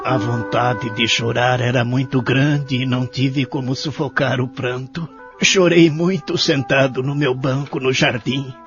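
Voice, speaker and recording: An actor playing a lonely, sorrowful elderly care-home resident in an audio drama, speaking in a measured, plaintive way. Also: male voice, mid-range voice, steady tone, lightly acted. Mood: sorrowful, reflective. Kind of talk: audio drama narration and dialogue. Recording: dull lo-fi audio with music behind.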